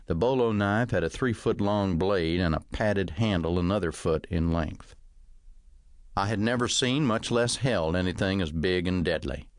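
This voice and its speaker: A man narrates a satirical novel in a fairly low voice. His delivery is measured and scripted, with dry humour. The sound is very dry, with no room around it. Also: no room sound. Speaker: audiobook narrator reading own comic novel. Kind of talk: scripted first-person narration.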